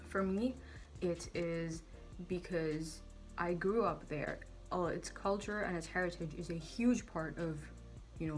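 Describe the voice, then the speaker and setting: A woman in her twenties from Saudi Arabia, talking casually to camera in a chatty, self-deprecating way. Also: lowish voice. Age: 20s. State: tired. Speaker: vlogger. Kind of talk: casual vlog talk to camera.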